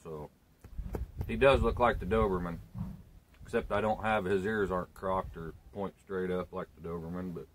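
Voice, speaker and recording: A man's voice: low-pitched, steady tone, moderate pace, slight background noise, low-quality recording. Laid-back bearded country man outdoors. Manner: laid-back, rambling, plain country talk. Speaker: homesteading vlogger. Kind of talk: casual chat. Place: outdoors on a sunny porch. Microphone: camera on a tripod a few feet away.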